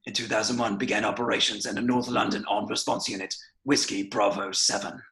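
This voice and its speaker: A man reading a formal service record in a measured, official voice-over. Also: lowish voice, steady tone, fairly bright tone. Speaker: voice-over reader.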